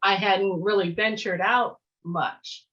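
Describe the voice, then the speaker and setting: A woman telling personal memories as an interview guest, in a casual, halting, reflective way over a video call. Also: medium pitch, fairly even intonation, fairly bright tone, muffled recording. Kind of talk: casual storytelling, reminiscing. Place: remote virtual interview.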